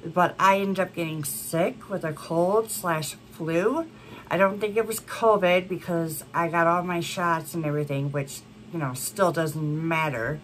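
A woman's voice: on the low side, measured pace, slight background noise, rough recording. A woman in her thirties chatting to camera as a beauty vlogger, congested from a cold, rambling with many fillers. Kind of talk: casual chat to camera. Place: home room with a plant wall and neon signs.

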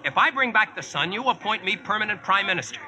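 Brash, sharp-voiced American wiseguy. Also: male voice, high-pitched, expressive, heavily acted. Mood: cocksure bargaining. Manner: slangy, brisk, cocksure. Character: brash wisecracking modern Yankee man bossing King Arthur's court. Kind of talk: 1940s radio acting and first-person narration. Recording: noisy vintage radio recording.

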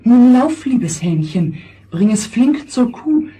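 Breathy, mellow, kindly meadow. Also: female voice, a little high, big pitch swings, slow, heavily acted. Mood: pitying, kind. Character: kindly, pitying, generous meadow. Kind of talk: children's audio fairy-tale acting.

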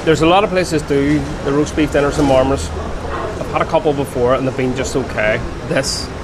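A man's voice: mid-range voice, fairly even intonation, a little fast, background noise. A man in his forties with a Northern Irish accent, casually reviewing drinks and food for his travel vlog on an outdoor terrace. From Northern Ireland. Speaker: travel vlogger. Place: open-air bar and restaurant terrace.

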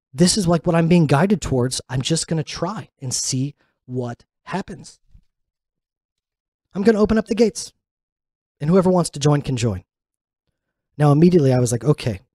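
A man speaks as a religious teacher, sharing personal stories in an informal, inspirational talk. His voice is mellow and sits at a middle pitch, captured with very little room sound. He sounds earnest and conversational, leaning into key words. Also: no echo, studio quality. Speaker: religious teacher and fellowship leader.

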